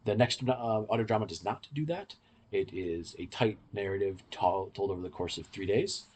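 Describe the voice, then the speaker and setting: Male podcast host, very low register, husky edge, casual explanatory delivery. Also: moderate pace, a little mellow. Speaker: podcast host reviewing audio dramas. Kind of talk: casual explanatory review chat.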